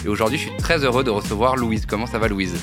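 A young man hosting a relaxed interview in a restaurant wine cellar, chatty and easygoing. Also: fairly low pitch, fast, loud music behind the voice. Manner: relaxed, chatty, friendly fillers. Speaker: host. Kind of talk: casual interview over drinks.

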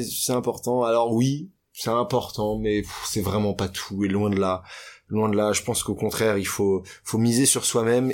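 A man's voice: medium pitch, a little mellow. A young man from Paris, telling his life story candidly in a casual interview, with many fillers and restarts. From France. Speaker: guest.

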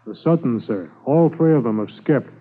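A steady, dogged Mountie sergeant in a 1950s radio drama. The mid-pitched voice is clipped and matter-of-fact, coming through a dull, narrow old radio recording. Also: male voice, moderate pace, breathy edge. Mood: grimly determined.

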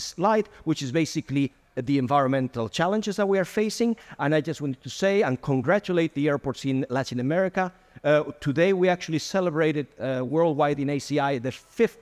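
A man speaking as a conference panelist, earnest and a little halting, with fillers. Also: a little high, a little mellow. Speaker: airport association panelist. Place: conference hall. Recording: reverberant conference hall.

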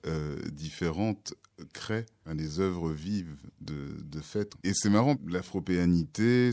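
A very deep male voice answering as a musician guest. He speaks thoughtfully and haltingly, filling pauses often. The sound is dry and close. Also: darkish tone, no echo. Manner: hesitant, thoughtful, with many fillers. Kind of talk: casual interview talk.

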